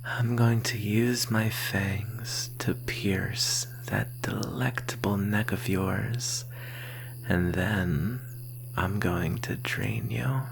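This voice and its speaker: A seductive, menacing ancient vampire played by an ASMR roleplayer with a low, gravelly voice that often sinks into a breathy whisper. The drawl is extremely slow and teasing, that of a predator toying with human prey. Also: fairly even intonation, a little hiss or hum, heavily acted. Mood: seductive menace.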